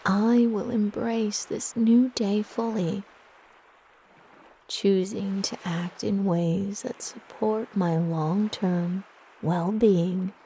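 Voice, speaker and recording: A woman narrating a guided meditation in a soft, breathy, gentle and soothing manner with long pauses. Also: medium pitch, fairly even intonation, slight background noise, rough recording.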